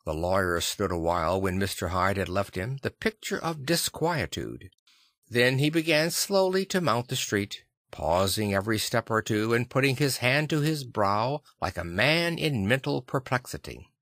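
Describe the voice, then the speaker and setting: A man reading classic fiction as an expressive audiobook narrator. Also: medium pitch, no echo, studio quality. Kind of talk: expressive scripted literary narration.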